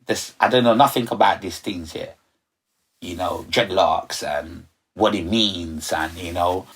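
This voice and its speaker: A man's voice: deep voice, measured pace, husky. A middle-aged man from Ghana, a guest talking in a loose, rambling way, often saying "you know". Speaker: sound system operator, guest. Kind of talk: relaxed interview chat.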